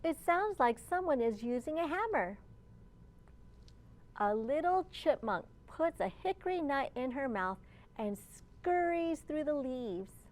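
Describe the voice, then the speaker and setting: High-voiced woman reading slowly to children. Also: animated, darkish tone. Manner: slow, deliberate phrasing, addressing young listeners with questions. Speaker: children's librarian reading storytime. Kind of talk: read-aloud picture book storytime for young children.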